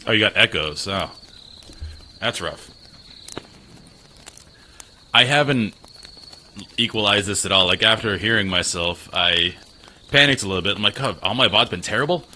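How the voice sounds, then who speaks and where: A man with a deep voice chats easily to his viewers from a small spare room. His tone comes across sharp and bright through a slightly tinny mic. A faint hiss sits underneath. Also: expressive, moderate pace, long pauses. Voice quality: low voice. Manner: chatty, self-deprecating, talks to chat. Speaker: gaming streamer. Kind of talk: casual live-stream chat. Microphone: desk mic.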